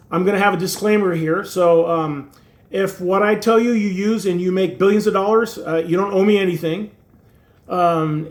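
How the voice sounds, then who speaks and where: A man in his sixties who grew up in Turkey and speaks Turkish natively, talking casually to camera as host of his own channel, rambling with lots of fillers and wry self-deprecating humor. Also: high voice. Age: 60s. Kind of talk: casual talking-head monologue.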